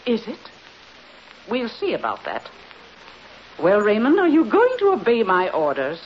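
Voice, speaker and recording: A woman's voice: mid-range voice, wildly swooping pitch, unhurried. An imperious, scolding society mother. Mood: indignant. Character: haughty, wealthy mother, older woman. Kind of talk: 1930s radio acting. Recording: muffled old radio recording with hiss.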